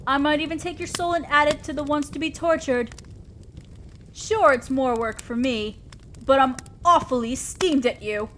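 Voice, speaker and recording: A woman's voice: high-pitched, moderate pace, a bit bright, a little hiss or hum. A woman voicing a stern, condescending female demon lord in a scripted roleplay audio drama, speaking as an exacting boss of hell. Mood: stern, threatening. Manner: commanding, condescending, scolding.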